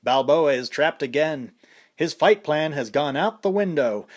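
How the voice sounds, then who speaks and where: A man voicing an excited male fight announcer calling a boxing match play by play, raised high and loud above his own voice. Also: unhurried. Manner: breathless play-by-play.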